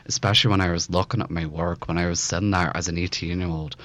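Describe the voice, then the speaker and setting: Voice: low voice, steady tone, fast talker, fairly bright tone. A person of twenty-four with a male-sounding voice and a Belfast accent, speaking earnestly in an interview with emphatic, rhetorical repetition. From West Belfast, Northern Ireland. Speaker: interview guest, photojournalist.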